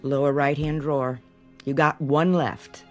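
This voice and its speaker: An actress playing a world-weary landlady in an audio drama, blunt and dry, scolding but with a soft spot underneath. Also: low-pitched, fairly even intonation, a little slow, soft background music. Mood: dry, impatient.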